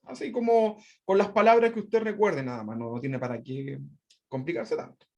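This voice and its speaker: A man's voice: high voice, flat delivery, normal speed, dark tone, lo-fi sound. A man with a Chilean accent teaching an online Bible class. He repeats his points and keeps checking in with "¿verdad?". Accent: Chilean Spanish. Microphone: video call.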